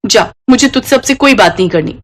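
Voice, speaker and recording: A woman's voice: medium pitch, expressive, fairly quick, natural voice. A woman voicing a playful, hot-tempered young Indian daughter-in-law in a storybook tale, teasing and quarrelsome. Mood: angry, reproachful.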